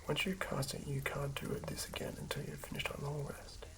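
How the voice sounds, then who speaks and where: A host whispering in a breathy, hushed ASMR style, reading aloud and commenting casually in an unhurried way. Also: medium pitch, completely flat delivery. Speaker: host reading and building a tabletop game character.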